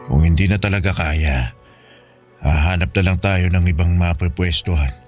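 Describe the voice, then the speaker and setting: A man acts as an ailing father, poor, proud and resigned, in a Filipino radio drama. His voice is low and weary, patient and reassuring, with faint music behind. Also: normal speed, darkish tone, close to an everyday voice. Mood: firm, resigned.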